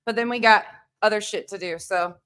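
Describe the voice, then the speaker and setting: A woman's voice: medium pitch, fairly quick, a bit bright, smooth. A woman hosting a live reaction stream, with a blunt, combative, sweary way of talking. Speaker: livestream host.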